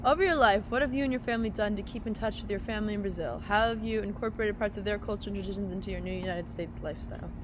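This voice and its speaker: A woman's voice: medium pitch, racing, hardly any pauses. Young woman interviewer, friendly casual questions, outdoor noise.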